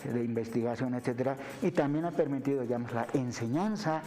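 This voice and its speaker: A man's voice: medium pitch, a little fast, warm tone, big-room sound, faint music behind. A man with a Bolivian accent, a university lecturer explaining things didactically as an interview guest, punctuating his sentences with tag questions. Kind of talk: explanatory television interview talk.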